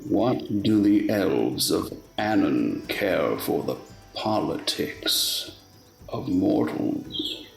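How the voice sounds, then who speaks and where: A man playing a proud, menacing lord of the dark fairies in a radio play, speaking slowly and grandly with disdain. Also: low-pitched, gravelly, echoey room, full character voice, rough recording. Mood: haughty, slow. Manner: slow, grandly theatrical, disdainful.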